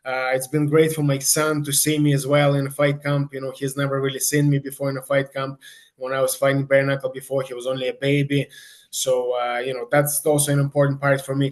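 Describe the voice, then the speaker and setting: Man chatting in a flat, even tone. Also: medium pitch. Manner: rambling, repeats "you know". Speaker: professional fighter guest. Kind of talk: casual, conversational interview answer.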